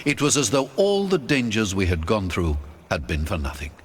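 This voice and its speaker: Lively, theatrical male audiobook narrator. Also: on the low side, moderate pace, breathy edge. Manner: theatrical, storytelling. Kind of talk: dramatic scripted audiobook narration.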